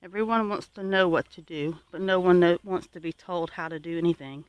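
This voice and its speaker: A homesteading channel host gives viewers advice in an earnest, exhorting and deliberate way. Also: mid-range voice, steady tone, smooth, dry recording, lo-fi sound.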